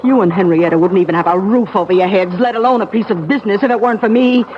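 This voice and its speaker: An actress playing a domineering, stingy sister-in-law who scolds and gives orders, in a 1970s radio drama. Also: female voice, mid-range voice, quick pace, lightly acted. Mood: scolding. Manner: scolding, bossy. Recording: dull old radio broadcast with hiss.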